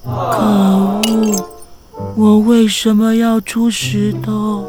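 A woman's voice: mid-range voice, flat delivery, drawn-out words, music in the background. A woman voicing a sulky young boy in a children's radio drama.

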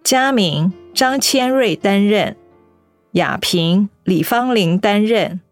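A woman announcer reading a radio drama's cast and crew credits in a formal, measured way. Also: medium pitch, lively intonation, dry recording. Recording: over soft instrumental music.

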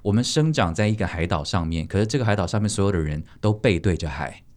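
Low-voiced Taiwanese man hosting casually. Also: animated, fast, darkish tone. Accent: Taiwanese Mandarin. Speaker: podcast host. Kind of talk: casual conversational talk.

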